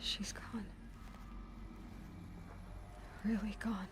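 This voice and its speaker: A woman's voice: medium pitch, level tone, unhurried, noisy background, lightly acted. An actress playing a brave, earnest young female warrior in a cinematic video game. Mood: subdued grief. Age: young adult.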